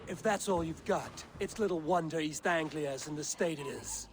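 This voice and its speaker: Game lead: self-assured Viking clan warrior, male, lightly raised pitch, needling and dry, noisy ambience. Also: moderate pace, lightly acted. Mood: confident. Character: defiant Viking clan warrior, cool, sharp-tongued, protective.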